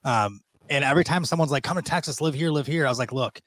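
A man's voice: mid-range voice, fast, fairly bright tone, no echo. A man in his thirties from Michigan, chatting casually as a podcast guest in a rambling, talkative way. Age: 30s. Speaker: guest angler.

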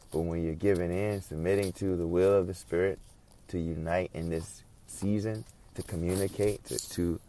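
A man's voice: deep voice, a little mellow. A man preaching an informal sermon, earnest and reflective, repeating and building on his phrases.

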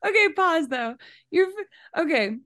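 A woman's voice: very high voice, big pitch swings, measured pace, a bit bright, dry recording, studio quality. A woman co-hosting a podcast in casual chat. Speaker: podcast co-host.

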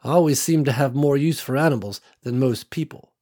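A man's voice: mid-range voice, a little fast, darkish tone, voice pushed up, natural voice. A man voicing a young woman who speaks earnestly and a bit hesitantly about being a reluctant leader.

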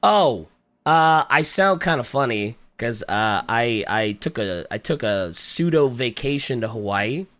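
A man co-hosting a podcast, talking casually with a raspy, congested voice while getting over a cold, hesitant and full of fillers. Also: medium pitch, animated, measured pace, a bit bright. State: recovering from a cold. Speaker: podcast co-host. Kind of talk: casual chat.

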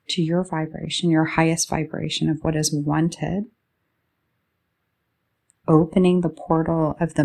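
A woman guides a meditation in a fairly low voice with a gravelly, fried edge. Her tone is dark and soft. She moves very slowly, laying out soothing phrases one short piece at a time. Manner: slow, soothing delivery in short drawn-out phrases.

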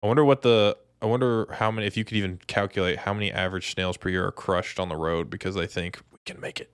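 A man co-hosting a podcast with casual, informal banter, thinking out loud as he talks. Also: low voice, steady tone, quick pace, smooth, no echo, studio quality. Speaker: podcast co-host. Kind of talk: casual sports and trivia banter.

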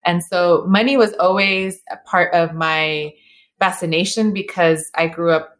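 A woman's voice: fairly low pitch, fairly even intonation, measured pace, fairly bright tone. A woman in her thirties, born in El Salvador and raised in Los Angeles, speaking earnestly as an interview guest with frequent fillers and restarts. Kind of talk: conversational interview.

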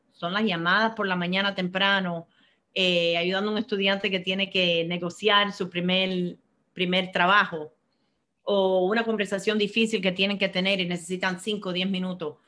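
A woman's voice: mid-range voice, steady tone, normal speed, fairly bright tone. A middle-aged woman, answering interview questions in an earnest, reflective way and often repeating words as she searches for the next one. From born in Cuba, raised in Miami. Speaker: interview guest, finance professional.

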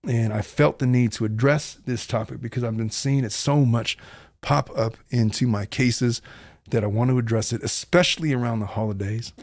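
A man's voice: mid-range voice, steady tone, normal speed, darkish tone, no room sound, studio quality. A middle-aged man hosting his own podcast as a family law attorney, talking bluntly and earnestly in a long, rambling monologue.

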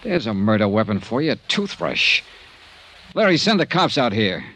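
A brisk, confident investigator in a 1950s radio drama. His delivery is wry and punchy, with animated swings in pitch. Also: slightly rough, exaggerated voice.

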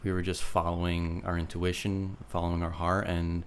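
A man from New York state, a podcast guest who talks in a calm, hesitant way. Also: sits low, normal speed, a little hiss or hum. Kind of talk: casual interview chat.

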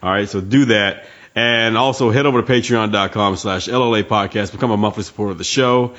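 A man's voice: on the low side, a little fast, fairly bright tone. A man from Texas co-hosting a podcast, delivering casual plugs with informal, slangy talk. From Texas, United States. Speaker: podcast co-host. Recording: slightly muffled, dull podcast audio.

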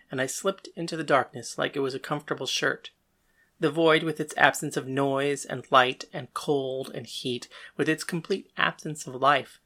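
Death god, narrating lead in audio drama: female actor, deep-pitched, brooding, bitter, defiant. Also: fairly bright tone, exaggerated voice. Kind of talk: first-person dramatic narration and dialogue.

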